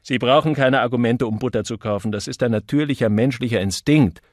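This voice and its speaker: A male narrator plays a grumbling, bossy ad man in an audiobook. He is curt, cynical and emphatic, mid-pitched, with a dry close sound. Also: a little fast, dry recording, close to an everyday voice. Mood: brisk, instructing. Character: grumpy, cynical male advertising copywriter, bossy and exasperated.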